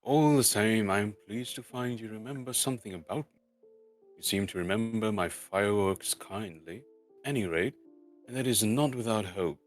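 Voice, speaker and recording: A man's voice: fairly low pitch, normal speed, natural voice. A man voicing a grand, commanding old wizard with theatrical, declamatory delivery in a storybook reading. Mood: commanding, pleased. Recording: music playing behind the voice.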